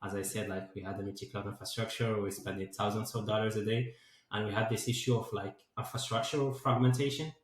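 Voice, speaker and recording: A man's voice: lowish voice, steady tone, quick pace. A man in his twenties from Morocco, answering interview questions as a guest and filling his sentences with "like" and "uh". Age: 20s. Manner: many fillers like "like" and "uh". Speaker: guest, DevOps engineer and founder. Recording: very echoey room.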